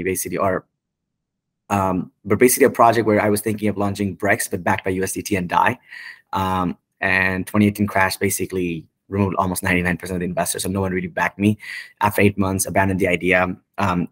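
A man's voice: sits low, no room sound. A man in his twenties with a Nepali accent, answering interview questions in a casual, rambling, informal way on a video call. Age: 20s. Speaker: guest, tech company founder. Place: indoor room, on a video call. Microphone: video call microphone.

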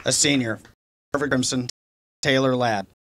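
A middle-aged man at an outdoor podium microphone, reading out honorees in a measured, formal way. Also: medium pitch, steady tone. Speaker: awards presenter. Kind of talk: reading award announcements. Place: outdoor stage by a river. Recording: noisy outdoor recording.

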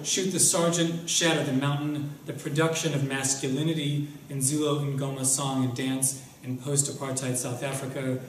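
A man's voice: medium pitch, slight background noise. A young man at a lectern, reading a formal introduction of a guest speaker in a lecture room. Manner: measured, reads from notes at a lectern. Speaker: event host introducing a speaker. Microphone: lectern mic, filmed by far camera. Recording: echoey room from far off.

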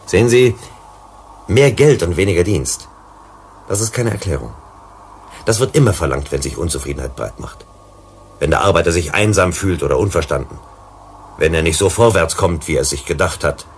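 An actor in a German radio play, playing a deliberate, probing troubleshooter who questions and lectures. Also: male voice, sits low, expressive, slight background noise. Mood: probing, insistent. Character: probing, unhurried male troubleshooter questioning colony bosses.

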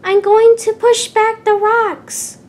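A woman voicing an excitable, loyal young male steam engine in a storybook, exclaiming loudly and urgently. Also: slow pace. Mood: urgent, determined. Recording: clear background noise with low rumble.

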